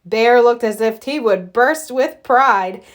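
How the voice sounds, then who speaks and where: A woman reading a children's story aloud in an expressive, scripted storytelling style. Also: on the high side, slow pace. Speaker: storybook reader.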